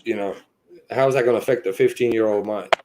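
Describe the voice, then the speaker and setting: A man in his thirties telling his personal story as a podcast guest, earnest and halting, filling his pauses with "you know". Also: fairly low pitch, noisy background. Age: 30s.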